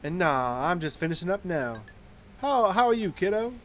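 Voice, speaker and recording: A man's voice: high-pitched, big pitch swings, moderate pace, pitched above the performer's own voice. A man voicing a kind, playful young farm woman from a visual novel, with a friendly, teasing manner, over background noise. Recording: clear background noise and ambience.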